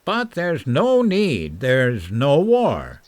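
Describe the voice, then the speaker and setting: Man playing an earnest, expressive professor. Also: mid-range voice, drawn-out words, dry recording, full character voice. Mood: hopeful, pleading. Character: earnest professor, peace-minded, pleading and hopeful. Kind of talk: old-time radio science fiction acting. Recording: faint noise behind the voice.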